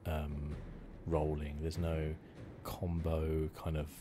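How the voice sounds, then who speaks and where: A man hosting an ASMR gaming video, giving hushed, relaxed, conversational commentary. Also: extremely low pitch, takes it slowly. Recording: game sounds noisy behind voice. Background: video game audio.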